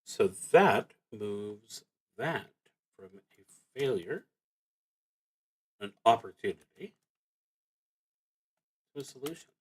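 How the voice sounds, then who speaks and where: A man streaming a solo tabletop roleplaying game, thinking aloud in a halting, reflective way as he works through his moves. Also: medium pitch, expressive, breathy edge, low-quality recording.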